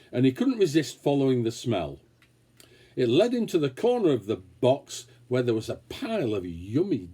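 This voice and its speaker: A man reading a children's story aloud, expressively and with dramatic pauses. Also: medium pitch, dark tone, slightly rough. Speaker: story reader. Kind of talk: scripted children's story narration.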